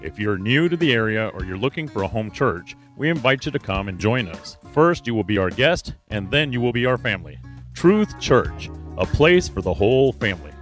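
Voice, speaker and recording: A man's voice: fairly low pitch, very animated, normal speed, a bit bright, soft background music. A man reading a scripted announcement that welcomes listeners to a church. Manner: smooth, welcoming promotional read.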